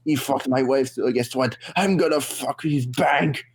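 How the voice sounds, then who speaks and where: A man's voice: mid-range voice, measured pace, gravelly, slightly rough. A man playing a crude, boastful, outraged ranter in an improvised livestream skit, on a noisy home mic with clicks. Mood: outraged boasting. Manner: crude, bombastic, swearing. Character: ranting, foul-mouthed, boastful man making an outraged announcement.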